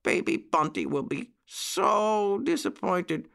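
A man voicing excitable little puppy boys in a children's story, eager and pleading with barking exclamations. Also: sits high, takes it slowly, creaky, voice pushed up, exaggerated voice.

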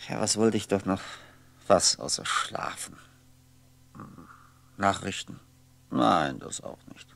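Weary, gravelly, brooding lonely man. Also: low-pitched. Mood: weary musing. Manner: brooding, halting, musing to himself. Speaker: radio play actor. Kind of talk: 1960s German radio drama monologue, introspective.

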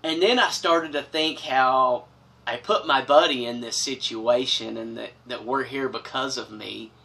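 A middle-aged man recounts a story with earnest, dramatic emphasis. His voice is bright and forceful, and it sits slightly away from the mic in a small wood-paneled room. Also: mid-range voice, a little slow, vocal fry, recorded from a distance. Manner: earnest, dramatic, expressive with big gestures. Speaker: storyteller host. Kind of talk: personal storytelling to camera. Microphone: camera from a few feet away.

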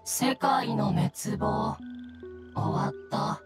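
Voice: mid-range voice, steady tone, drawn-out words, loud music behind the voice. An actor voicing a small, innocent mushroom creature in a video game, speaking in broken single words. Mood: halting, earnest. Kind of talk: video game character acting.

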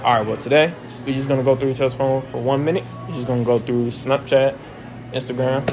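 A man's voice: mid-range voice, steady tone, fast, over music, poor audio. A young man hosting casual street interviews outdoors, joking and teasing in slangy talk.

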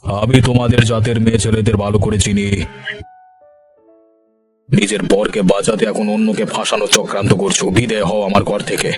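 A man's voice: on the low side, little pitch movement, brisk, pauses often, darkish tone, natural voice. A man playing a haughty village landlord in a cartoon, commanding and scornful in his dramatic dialogue. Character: stern, haughty village landlord, quick to condemn. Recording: music behind the voice.

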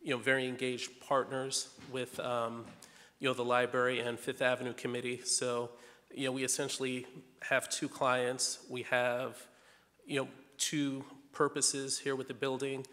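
Finance guy on a panel, flat mid voice, you know every other word, hall echo. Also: medium pitch. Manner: leans on "you know" constantly. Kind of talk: panel discussion answer. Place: large auditorium. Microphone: table mic. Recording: long hall reverb.

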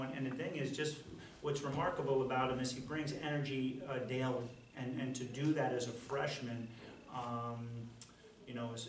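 A middle-aged man, a basketball coach, answering press questions in a halting way with many fillers and restarts. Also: medium pitch. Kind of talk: post-game press conference answers. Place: press conference room. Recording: roomy, distant, faint noise.

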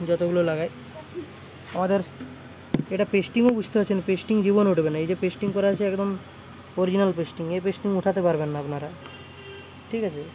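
A man's voice: sits high, dark tone. A young man with a West Bengal Bengali accent, talking informally and repetitively in a casual showcase vlog on a handheld phone in a repair shop. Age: young adult. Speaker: electronics repair shop vlogger. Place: cluttered indoor repair shop. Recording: noisy handheld phone recording.

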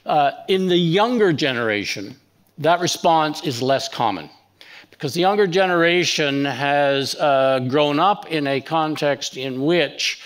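An older man on a conference panel, speaking in a measured, lecturing way into a headset mic. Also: a little high, expressive, fairly bright tone. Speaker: panelist, professor. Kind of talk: panel discussion. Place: conference stage. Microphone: headset microphone.

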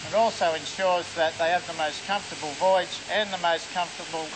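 Man, businesslike explainer, slightly high voice. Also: steady tone, fairly quick, background noise. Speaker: feedlot and feed mill manager. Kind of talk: interview explanation.

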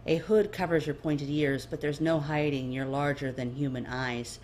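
Woman, low voice, audiobook narration, scripted fiction reading. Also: fairly even intonation, moderate pace, darkish tone, a little hiss or hum. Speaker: fan fiction reader.

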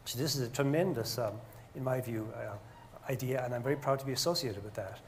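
An older man with a mid-pitched voice gives considered remarks on a panel. He is deliberate and hesitates often between phrases. There is faint noise behind him in the hall. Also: darkish tone. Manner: deliberate, hesitant with frequent pauses. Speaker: panelist, engineer. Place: stage of a lecture hall, indoors.

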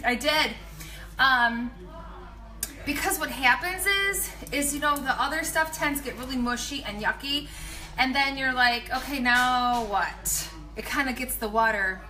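Woman, 30s, pregnant, chatty and rambling, slightly high voice, home kitchen, phone mic. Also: unhurried, faint music behind, rough recording. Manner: chatty, rambling, animated asides. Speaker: nutrition coach. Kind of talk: casual live video tips. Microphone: phone at arm's length.

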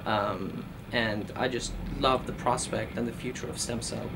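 A man's voice: mid-range voice, fairly even intonation, a little slow, creaky, noisy background. A man in his thirties, from Iran, speaking earnestly in an interview as a postdoctoral researcher, with many fillers and restarts, recorded in a lab office. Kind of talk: documentary interview.

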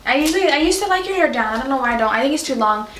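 A woman's voice: high-pitched, lively intonation, very fast, a bit bright, roomy sound, slight background noise. A young woman in her twenties bantering bluntly and giggling with her boyfriend, filmed close by a camera in a small room. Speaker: vlogger's girlfriend. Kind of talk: casual banter.

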